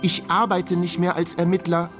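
A man's voice: high-pitched, completely flat delivery, normal speed, fairly bright tone, faint music behind. An actor playing a wry, broke ex-detective in his forties, narrating a radio crime drama in a laconic, self-mocking way. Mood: wary. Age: 40s.

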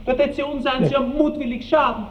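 A woman acting a naive young Austrian chambermaid in a vintage radio play. Her voice is very high, artless and flustered, with a rustic coyness. The recording sounds dull and aged. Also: quick pace, natural voice. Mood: frightened flustered. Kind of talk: classic radio drama acting.

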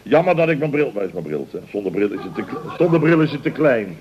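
An actor playing a blustering, jovial boss in a radio comedy, stammering and exclaiming in mock-formal phrases. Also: male voice, mid-range voice, sing-song, quick pace, a little mellow, a little hiss or hum, natural voice. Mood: flustered amusement. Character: blustering, jovial male boss of a building firm. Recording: dull old radio recording.

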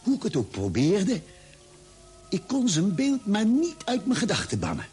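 Pensive ship doctor in old radio play, slightly high voice, very expressive, deliberate. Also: male voice, husky, faint music behind, heavily acted. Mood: brooding, haunted. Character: thoughtful male ship's doctor narrating a space voyage. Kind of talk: measured, dramatic radio play narration and dialogue.

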